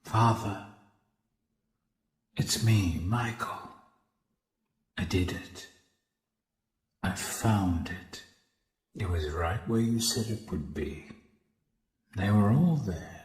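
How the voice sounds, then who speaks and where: A man playing a haunted, solemn undead son in a horror game monologue, speaking deliberately with grim devotion. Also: fairly low pitch, expressive. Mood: solemn resolve. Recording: roomy echo under loud music.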